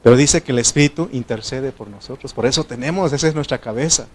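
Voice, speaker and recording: A man preaching a sermon from Mexico, first language not Spanish, talking to his congregation in an animated way, moving between loud exhortation and quiet asides. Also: mid-range voice, fast talker.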